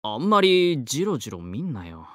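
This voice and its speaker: An actor playing a surly, rough-talking young delinquent swordsman in a game, gruff and slangy. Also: medium pitch, sing-song, moderate pace, no room sound, clean studio sound, natural voice. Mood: irritated. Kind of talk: Japanese game character acting.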